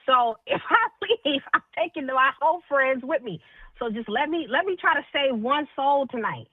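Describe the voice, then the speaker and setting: High, teasing woman on a tinny line. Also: expressive, moderate pace, no echo. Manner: playful, teasing, mock-preachy. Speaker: guest. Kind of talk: casual banter. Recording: dry, tinny phone-like line.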